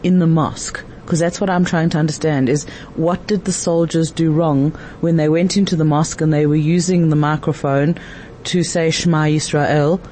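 A man's voice: fairly high pitch, steady tone, moderate pace, darkish tone. A man hosting a conversational news interview, putting questions to a guest. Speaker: interview host. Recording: faint background noise.

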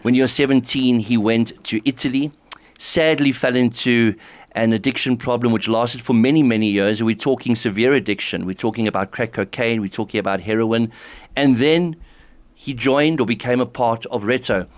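A man's voice: mid-range voice, fairly even intonation, normal speed. A man hosting a radio interview, reading a scripted introduction and asking direct questions. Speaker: radio host.

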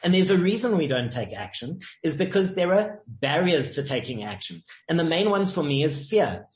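A man's voice: a little high, sing-song, normal speed. A man talking as an interview guest, confident and emphatic, driving points home with sayings and stories. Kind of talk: motivational interview talk. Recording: echoey room.

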